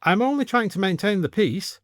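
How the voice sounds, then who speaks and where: Man as village policeman, pompous, officious, flustered, sniffy, pitched well up, comic audio story. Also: lively intonation, brisk, no echo, clean studio sound. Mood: pleading, sniffy.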